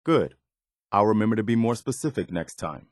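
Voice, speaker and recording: Actor as an earnest, lower-voiced militia soldier, dry recording. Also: male voice, lively intonation, brisk, no room sound, natural voice. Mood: easygoing. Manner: sincere, plainspoken, conversational. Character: earnest, principled militia soldier man, loyal and weary. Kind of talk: video game companion dialogue.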